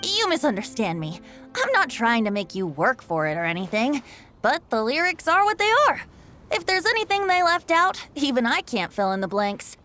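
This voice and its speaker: An actor voicing a playful young bard who speaks in a lilting, singsong way in a game. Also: male voice, extremely high pitch, huge pitch swings, normal speed, sharp tone, faint music behind, heavily acted. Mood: gentle. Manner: lilting, singsong, theatrical sighs. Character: playful young male bard, carefree and teasing.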